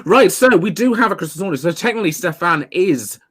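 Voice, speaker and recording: A man in his thirties hosting a comedy panel game over a video call from home, excitable and quick to laugh. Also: high voice, husky. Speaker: game show host. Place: home room.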